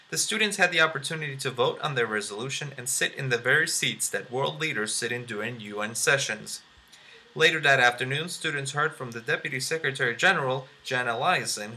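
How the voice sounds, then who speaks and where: A man's voice: medium pitch, bright, forceful tone. A man reading scripted news narration as a reporter.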